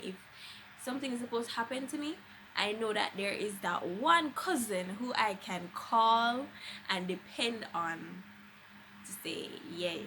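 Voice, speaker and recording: A woman's voice: medium pitch, background noise. A young adult woman with a Jamaican accent, chatting casually and expressively as a vlogger telling a personal story, with emphatic conversational delivery.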